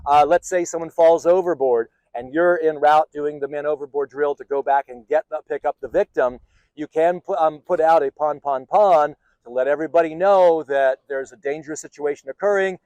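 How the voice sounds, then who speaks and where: Male instructor, slightly high register, dry sound. Informal and practical explainer, occasionally halting and self-correcting. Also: a little mellow, dry recording, poor audio. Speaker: sailing instructor and channel host. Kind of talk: informal instructional talk to viewers.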